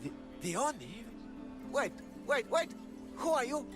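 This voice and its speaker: Very high-pitched, agitated drunk. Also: male voice, very animated, takes it slowly, heavily acted. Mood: panicky, accusing. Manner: agitated, stammering. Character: obnoxious drunk male harbor master, panicky and suspicious. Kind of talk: scripted video game dialogue. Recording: music behind the voice.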